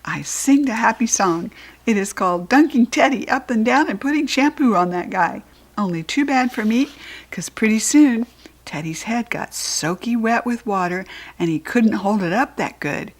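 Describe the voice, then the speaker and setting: Expressive American woman reading a children's book. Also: on the high side, normal speed. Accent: General American.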